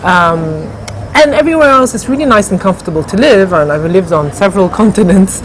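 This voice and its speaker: A woman's voice: mid-range voice, lively intonation, fairly quick. A middle-aged woman from Ghana, answering interview questions in a thoughtful, hesitant way with many fillers. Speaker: interview guest, café co-founder. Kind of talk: conversational interview. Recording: noisy background.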